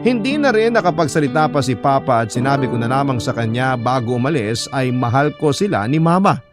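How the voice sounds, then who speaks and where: A man's voice: mid-range voice, animated, fairly quick. A man narrating a first-person letter for a radio drama in a scripted storytelling style. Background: guitar music bed.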